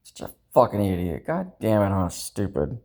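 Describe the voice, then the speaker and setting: A man's voice: lowish voice, very animated, moderate pace, darkish tone. A man rambling in a personal, confessional way, upset and dejected, venting with self-critical frustration and frequent swearing. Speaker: personal vlogger. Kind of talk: rambling confessional talk to camera.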